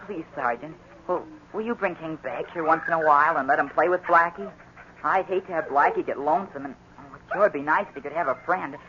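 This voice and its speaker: A man's voice: on the high side, quick pace, bright, forceful tone. An actor playing an earnest, eager young boy in a 1940s radio drama. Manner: gushing, stammers when upset. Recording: muffled, noisy 1940s radio recording.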